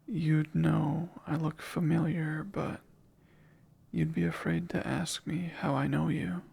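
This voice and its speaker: A man voicing a possessive male sleep paralysis demon in an ASMR roleplay, whispering slowly and teasingly in a gravelly, breathy voice. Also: on the high side, little pitch movement, exaggerated voice. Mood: taunting. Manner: hushed close whispering, slow and teasing.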